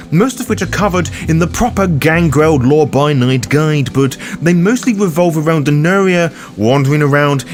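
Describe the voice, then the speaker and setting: A man hosting a roleplaying guide, reading scripted explanatory narration in a theatrical, cheeky manner. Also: fairly high pitch. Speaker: host of a tabletop roleplaying guide.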